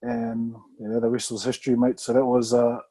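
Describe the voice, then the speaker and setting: A man, a Samoan speaker, chatting casually as a rugby player guest in a relaxed, rambling way. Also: medium pitch, moderate pace. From New Zealand. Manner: relaxed, rambling, full of "mate" and "bro". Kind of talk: casual podcast interview.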